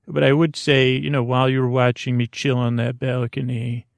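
A man hosting a sleep podcast with a creaky voice, rambling gently and haltingly through soothing, slightly silly tangents. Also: medium pitch, flat delivery, no room sound. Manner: meandering, halting, frequent tangents. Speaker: podcast host. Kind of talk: rambling bedtime monologue to lull listeners to sleep.

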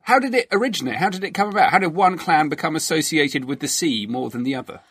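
Bright-voiced male interviewer, dry sound. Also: a little high, lively intonation, quick pace, slightly rough, no room sound. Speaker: interviewer, podcast host. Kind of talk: conversational probing interview questions.

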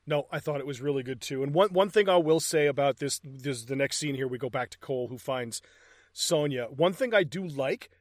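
A man's voice: mid-range voice, a little fast, no room sound, studio quality. A man co-hosting a casual movie review chat, walking through scenes and swearing casually. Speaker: movie review podcast co-host.

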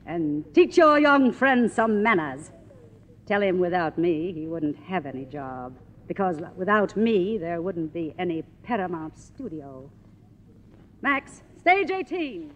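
A woman's voice: on the low side, big pitch swings, slow pace, a little hiss or hum. An actress playing a grand, imperious yet fragile faded silent-film queen in a theatrical 1950s radio drama style. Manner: theatrical, commanding. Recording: echoey old 1950s radio recording.

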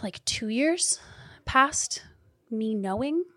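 A fairly high-voiced woman in her thirties speaks openly and animatedly in a podcast chat, often laughing and sometimes cursing. She swings from loud and emphatic down to near-whispers, recorded dry and close with no room sound. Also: slow, no echo. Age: 30s. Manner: animated, swearing, laughing, shifting from loud to hushed. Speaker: guest, community leader and business owner. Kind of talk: candid podcast conversation.

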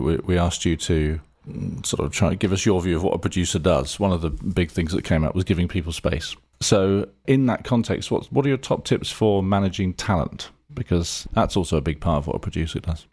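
A man's voice: sits low, fairly quick, hardly any pauses, a little mellow. A man co-hosting a podcast, putting questions to a guest in a casual conversational style. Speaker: interviewer, podcast host.

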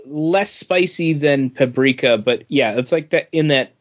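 A man's voice: mid-range voice, a little slow, dull audio. A man chatting casually as a podcast co-host. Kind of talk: casual chat.

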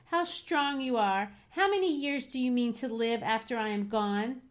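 Woman acting dying young woman, raised pitch, bitter, passionate, pleading, storybook drama. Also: moderate pace, natural voice. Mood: bitter reproach. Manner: pleading, emphatic. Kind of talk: dramatic novel reading.

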